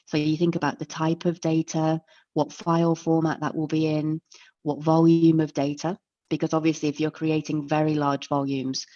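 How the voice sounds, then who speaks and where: A woman with a low, deep voice gives an online lecture and explains each point step by step in a friendly way. Her sound is dull and lo-fi, like video call audio. It is close and dry, with no room sound. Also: little pitch movement, normal speed, mellow, dry recording. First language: English. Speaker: webinar presenter.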